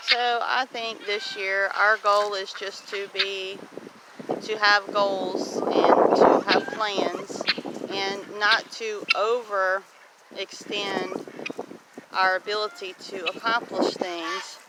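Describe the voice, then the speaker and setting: Loud outdoor noise with honking geese surrounds a woman of about fifty. She talks slowly at a medium pitch, in a casual, reflective way, as the plain-spoken host of a homestead vlog. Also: fairly even intonation. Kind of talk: casual walk-and-talk vlog.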